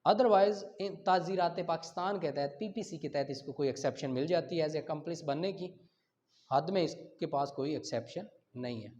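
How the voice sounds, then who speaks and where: A man's voice: on the high side, moderate pace, a little mellow. A man with a Pakistani accent giving an explanatory law lecture with examples, asking and answering his own questions and mixing in English terms. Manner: switches between Urdu and English legal terms. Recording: dull lo-fi sound.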